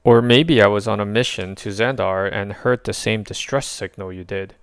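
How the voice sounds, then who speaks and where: A man voicing a calm, stately thunder-god hero with a formal, unhurried manner in a storybook. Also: lowish voice, clean tone, dry recording, studio quality, natural voice. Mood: confident.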